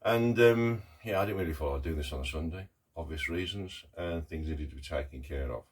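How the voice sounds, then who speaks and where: A middle-aged man with a croaky voice from a cough, talking haltingly and earnestly in a casual video diary. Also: low voice. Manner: halting, many ums and pauses, earnest. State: hoarse with a cough. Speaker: artist vlogger. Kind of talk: casual talk to camera.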